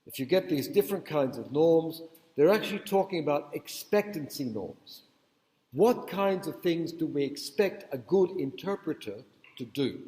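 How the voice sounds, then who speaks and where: A man's voice: fairly high pitch, dark tone. A man giving a university lecture in a conversational, digressive way, often checking in with the audience. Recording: echoey hall with long reverb.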